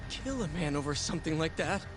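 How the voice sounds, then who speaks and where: A man's voice: on the high side, animated, fairly quick, music in the background, close to an everyday voice. An actor playing a desperate young man in custody who pleads his innocence in a dramatic, agitated manner in a video game.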